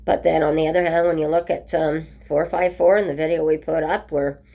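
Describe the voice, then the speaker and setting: Low-voiced woman with a rough cold. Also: fairly even intonation, fairly quick, dark tone. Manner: chatty, many ums and restarts. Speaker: vlogger. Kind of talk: casual home video update. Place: at home by a window.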